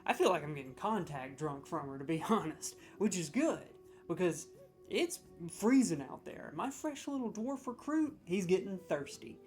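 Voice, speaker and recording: A man's voice: high voice, normal speed, soft background music. Profane man in a mock-serious rant. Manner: stammering restarts when excited. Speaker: comedic judge of game voice lines. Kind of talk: comedic ranking rant.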